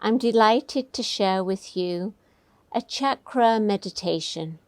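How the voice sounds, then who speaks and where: Woman, meditation teacher, very slow pace, soothing gentle instruction, dry close sound. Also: medium pitch, no echo. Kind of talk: guided meditation.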